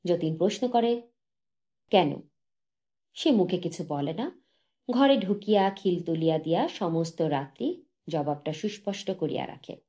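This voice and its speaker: A woman narrating a literary short story as an audiobook, reading in a measured, solemn manner. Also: fairly low pitch, expressive, mellow.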